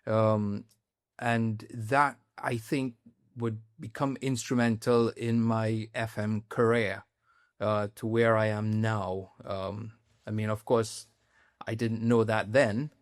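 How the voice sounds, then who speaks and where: A middle-aged man with a Trinidadian accent, a podcast guest talking politely and at a measured pace, with frequent ums. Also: lowish voice, steady tone, no room sound, studio quality. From Trinidad and Tobago. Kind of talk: podcast interview.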